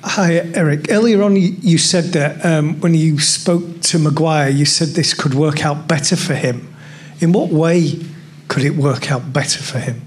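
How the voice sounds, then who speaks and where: A man's voice: a little high, measured pace, slight background noise. A male journalist putting questions to someone at a press conference.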